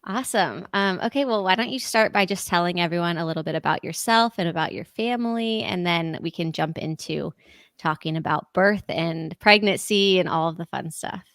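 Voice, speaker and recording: An upbeat woman hosts a podcast and greets her guest with real enthusiasm. Her voice sits low and carries a gravelly fry. The sound is dry and close to the mic. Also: normal speed, fairly bright tone, dry recording. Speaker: podcast host, doula. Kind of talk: podcast interview.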